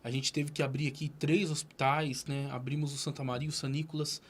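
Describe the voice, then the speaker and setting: Earnest Brazilian official, slightly high voice. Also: male voice, little pitch movement, fairly quick. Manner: explanatory, hesitant restarts. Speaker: guest, city health secretary. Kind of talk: interview answers.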